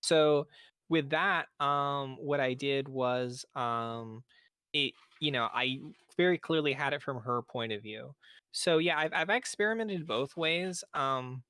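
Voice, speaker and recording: A man's voice: medium pitch, slow, pushed and bright, clear, resonant tone, dry recording, studio quality. A man speaking as a game writer on a panel, explaining things in a thoughtful, methodical way.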